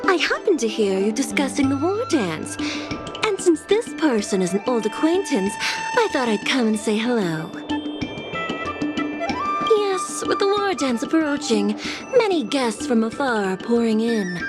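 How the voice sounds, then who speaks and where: Formal polite young secretary lady in a game, higher voice, lively intonation, music behind. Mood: polite, welcoming. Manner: courteous, formal, measured. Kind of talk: video game dialogue acting.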